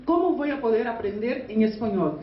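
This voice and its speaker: A Brazilian woman, a union delegate with Portuguese as her first language, gives an earnest conference speech in halting Spanish with a Portuguese accent. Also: on the high side, animated, a little hiss or hum. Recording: echoey, distant, muffled hall recording.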